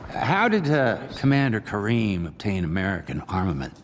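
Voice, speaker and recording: A man's voice: on the low side, wildly swooping pitch, normal speed. An actor playing a male official who formally questions a general at a hearing. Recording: echoey hearing hall with music.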